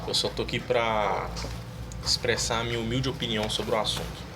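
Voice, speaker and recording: A man's voice: on the low side, steady tone, brisk, background noise. A man giving his opinion in a casual monologue, earnestly reading Bible verses aloud. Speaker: religious video creator.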